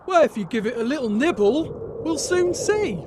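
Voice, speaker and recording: A man's voice: extremely high pitch, expressive, normal speed, background noise, voice pushed up. A man voicing a coaxing husband in a folk tale from ancient China, in a theatrical manner. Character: hungry ancient Chinese husband coaxing his wife.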